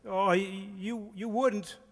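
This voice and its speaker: A man acts a bashful young suitor in an old-time radio comedy, bumbling and eager to please. His high voice stammers politely, with a long hall reverb around it. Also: fairly even intonation, takes it slowly, a bit bright. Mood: nervous, obliging. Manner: stammering, deferential.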